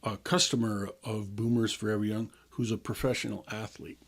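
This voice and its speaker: A man's voice: fairly low pitch, little pitch movement, normal speed. A man in his sixties hosting a health radio show, explaining things in a chatty, easygoing way and laughing now and then. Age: 60s. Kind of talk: casual radio talk.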